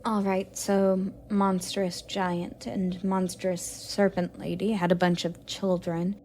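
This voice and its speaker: Young goddess for audio roleplay, played by a woman: playful, curious, expressive, mildly haughty, leisurely delivery, slight noise behind. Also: medium pitch, slow. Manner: inquisitive, expressive, cooing at a pet. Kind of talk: romantic audio roleplay addressed to the listener.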